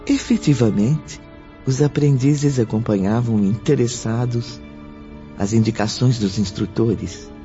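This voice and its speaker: A solemn male narrator in a radio drama, very slow and grave. His voice sits at a middle pitch. Music runs under the dull recording. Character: solemn narrator witnessing tormented spirits.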